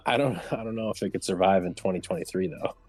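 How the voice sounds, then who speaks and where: A man's voice: deep voice, quick pace, a little mellow, dry recording, faint music behind. A man chatting casually as a panelist on a livestream round table. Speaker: panelist in a video game discussion.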